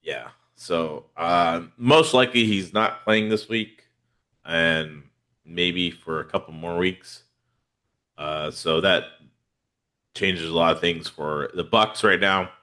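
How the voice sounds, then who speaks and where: Man, very low voice, bright edge, congested, rambling podcast host. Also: slow. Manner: laid-back, rambling with many fillers. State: congested from a head cold. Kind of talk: casual fantasy football chat.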